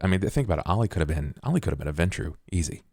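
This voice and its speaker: Male podcast player, deep voice, very dry sound, casual talk with emphatic repeats. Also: lively intonation, rapid-fire, darkish tone, husky, dry recording. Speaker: podcast cast member, player. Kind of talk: casual chat.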